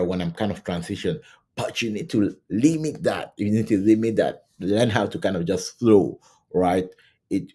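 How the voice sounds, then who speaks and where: A man's voice: deep voice, dark tone. A middle-aged man from Cameroon coaching to camera on job interviews, talking loosely and often checking in with "right?". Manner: conversational, repeats "right?" and restarts often. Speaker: scrum coach. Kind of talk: teaching to camera. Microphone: camera mic, facing camera.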